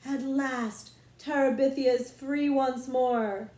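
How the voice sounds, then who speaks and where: A bold, imaginative girl with regal airs, acted out by a woman reading a storybook. The voice is higher-pitched and mellow, with lofty phrasing. The room echoes around it. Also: fairly even intonation, slow pace. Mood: triumphant. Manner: lofty mock-archaic speech. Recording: roomy echo.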